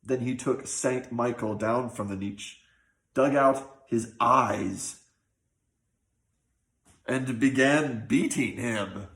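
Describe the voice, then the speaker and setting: A man narrating a scripted folk tale with an expressive, old-fashioned storytelling delivery. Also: mid-range voice, slow pace, pauses often. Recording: roomy, echoing sound.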